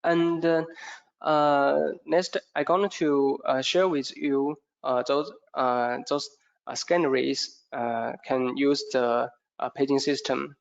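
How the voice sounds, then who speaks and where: A man giving a product training presentation in a hesitant, halting way, often repeating words, with a creaky voice. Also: medium pitch, steady tone. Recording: dull, muffled lo-fi audio.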